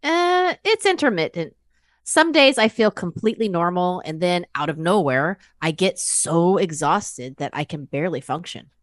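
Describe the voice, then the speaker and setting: A woman acts out a worried female patient in her mid-fifties. Her voice sits a little low but swings expressively as she earnestly describes things in a conversational way. The recording is dry and free of room sound. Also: lively intonation, normal speed, no room sound, natural voice. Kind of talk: acted medical consultation for exam practice.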